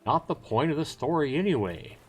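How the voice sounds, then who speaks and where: A man's voice: fairly high pitch, animated, fairly quick, breathy edge, voice pushed up. A man voicing a salesman-like seafaring trader who tells sea yarns in a theatrical, cajoling way over music. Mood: boastful, suspenseful. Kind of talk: dramatic storytelling.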